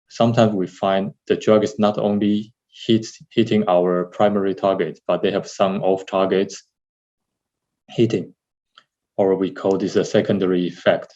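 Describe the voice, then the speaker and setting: A middle-aged man from Taiwan with a Taiwanese accent gives a webinar slide presentation as a lab director, haltingly repeating and correcting his words. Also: low voice, a little mellow. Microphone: video call, seated at computer. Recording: dull, lo-fi webinar audio.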